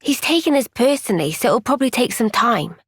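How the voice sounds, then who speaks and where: A woman playing an earnest, thoughtful young soldier in a fantasy video game cutscene, speaking in a gentle, measured, reflective way. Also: a little high, animated, husky, dry recording, clean studio sound, heavily acted.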